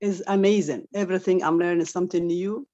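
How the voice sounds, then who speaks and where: Woman, lowish voice, halting grateful testimonial, muffled call sound. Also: fairly even intonation, mellow. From Somalia, raised in Saudi Arabia. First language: Arabic. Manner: halting, restarts often, grateful and earnest. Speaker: caller sharing a testimonial. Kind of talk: emotional testimonial on a video call.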